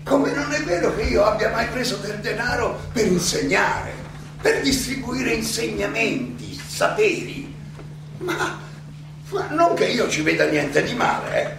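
A distant, very echoey recording with clear background noise carries an old Athenian philosopher on trial. His voice is high for a man and gravelly. He speaks in a theatrical monologue, hesitating and repeating himself with wry irony. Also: exaggerated voice. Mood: ironic, musing. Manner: halting, hesitant, ironic, with repetitions and chuckles. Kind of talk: theatrical stage monologue, conversational oratory.

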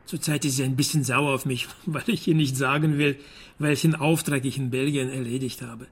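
A man's voice: mid-range voice, steady tone, moderate pace, slightly rough. An actor playing a wry, evasive private detective in a radio drama, casual and dry, always talking his way around things. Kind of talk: modern radio drama acting.

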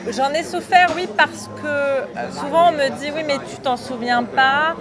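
A woman's voice: sits high, normal speed, music in the background, a crowd in the background. A woman from Lebanon who grew up in Paris, speaking thoughtfully with many hesitations as an interview guest. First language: Arabic. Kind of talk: casual interview.

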